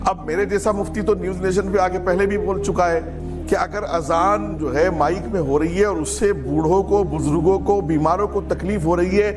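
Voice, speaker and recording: Man, high voice, cleric, critical debate talk, emphatic repetition, music in the background. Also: steady tone, moderate pace.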